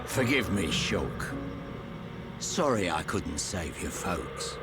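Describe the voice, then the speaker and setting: A man playing a gruff, worldly older adventurer and fatherly mentor in a video game cutscene, easygoing and blunt. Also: sits low, sing-song, takes it slowly, over loud music, exaggerated voice. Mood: reflective, regretful.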